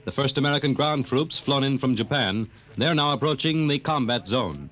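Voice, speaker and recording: A man with a middling pitch reads a news bulletin. His delivery is formal and urgent, in old-time 1950s radio style. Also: animated, normal speed, a bit bright. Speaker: newsreader.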